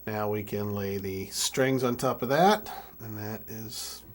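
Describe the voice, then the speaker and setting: A young adult man giving an informal hands-on tutorial, speaking haltingly as he thinks aloud while working. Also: lowish voice. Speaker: music keyboard tutorial presenter. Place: indoor room with draped backdrop.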